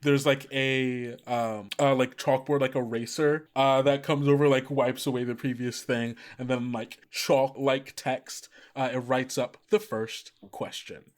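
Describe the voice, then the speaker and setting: A man's voice: mid-range voice, level tone, unhurried, few pauses. Rambling man running a tabletop game. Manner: rambling, full of "like" and "uh". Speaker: game master. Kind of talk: describing scenes in a tabletop game.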